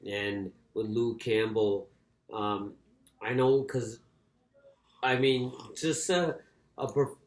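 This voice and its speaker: A man co-hosting a casual sports podcast, speaking haltingly and often repeating his words as he goes. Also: fairly low pitch, fairly even intonation. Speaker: boxing podcast co-host. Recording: echoey, roomy sound.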